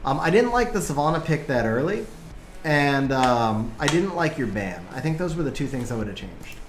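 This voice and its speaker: A man giving lively live commentary on game replays and chatting with viewers, his voice getting worn from a long stream. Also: medium pitch, roomy sound, faint music behind. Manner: animated, quick play-by-play, bursts of excitement.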